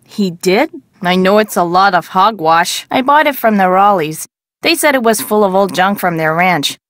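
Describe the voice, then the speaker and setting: An actress playing a casual, dryly humorous shopkeeper with an American accent in scripted video game dialogue. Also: female voice, medium pitch, no room sound, clean studio sound, lightly acted. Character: wry, businesslike woman shopkeeper in ranch country.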